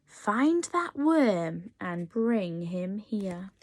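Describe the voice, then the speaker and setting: A woman's voice: mid-range voice, sing-song, extremely slow, a little mellow, no room sound, voice pushed down, full character voice. Woman putting on a bossy, menacing lizard wizard. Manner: commanding, threatening.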